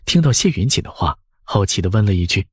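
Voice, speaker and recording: 一位男性有声书播讲人，用富有表现力的方式照稿讲述故事。. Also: male voice, low voice, animated, fast, breathy edge, no echo, clean studio sound. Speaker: audiobook narrator. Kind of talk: expressive scripted story narration.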